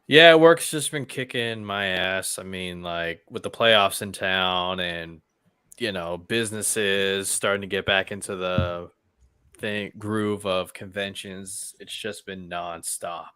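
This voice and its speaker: A man's voice: low voice, slow pace, bright, forceful tone, clear, resonant tone, no room sound. A man on a movie panel talking casually, with an informal, emphatic way of speaking. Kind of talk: casual chat.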